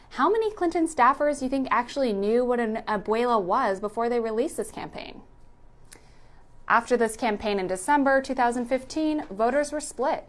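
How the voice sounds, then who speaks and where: A woman reading a scripted narration in the style of a news recap. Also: mid-range voice.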